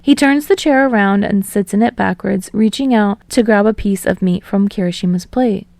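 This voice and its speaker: A woman's voice: medium pitch, moderate pace, gravelly, a little mellow, dry recording. A woman reading scripted fiction as an audiobook narrator.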